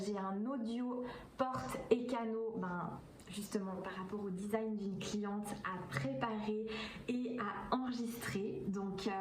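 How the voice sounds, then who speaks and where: Swiss French woman, roomy and distant. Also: medium pitch. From Switzerland. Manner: hesitant, many filler sounds, enthusiastic. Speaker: vlogger and coach. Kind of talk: casual vlog talk to camera. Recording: roomy echo, from a distance, faint background hiss.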